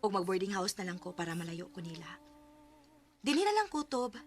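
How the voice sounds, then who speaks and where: A woman's voice: mid-range voice, very animated, brisk, a little hiss or hum, natural voice. A young woman with a Cebuano accent playing a hurt, bitter younger sister in an emotional Bisaya radio drama. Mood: sad, resigned. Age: young adult. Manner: emotional, melodramatic.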